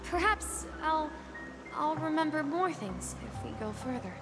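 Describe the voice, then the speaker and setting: A gentle young boy tells his story in a game scene. He is sentimental and hesitant, taking his words slowly. Music plays clearly underneath. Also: sits high, animated. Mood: hesitant, troubled. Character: wistful, earnest young boy recalling his grandfather. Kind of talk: video game story acting.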